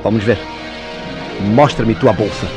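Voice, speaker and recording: A man's voice: fairly low pitch, fairly even intonation, unhurried. An actor playing a suspicious, jealous man in a Brazilian radio soap opera, speaking in formal, insistent, theatrical tones. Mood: accusing, insistent. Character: suspicious, jealous man relentlessly interrogating a woman. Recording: radio drama with background music.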